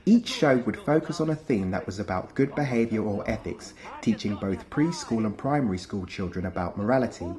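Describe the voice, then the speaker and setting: A man's voice: lowish voice, lively intonation, fairly quick, few pauses, a little mellow. A man reading scripted narration in the style of a nostalgic documentary countdown.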